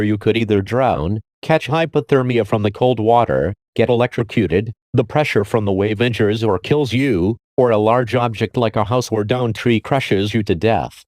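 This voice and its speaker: A man's voice: on the low side, darkish tone, dry recording. Dry-sounding man reading an emergency alert. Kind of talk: scripted emergency alert announcement.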